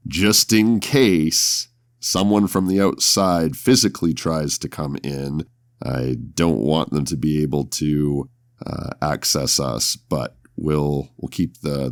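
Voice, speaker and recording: A man's voice: very deep voice, no room sound, studio quality. A man playing a tabletop roleplaying game, casually talking through what his character will do.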